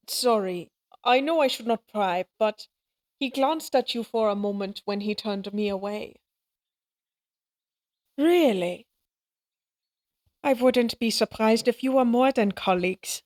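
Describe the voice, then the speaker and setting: An actress plays a wistful woman from a remote lodge in a romantic audio drama. Her voice is fairly high and very unhurried, gentle and musing as she gently probes. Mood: wistful, hesitant. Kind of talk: cinematic audio roleplay acting.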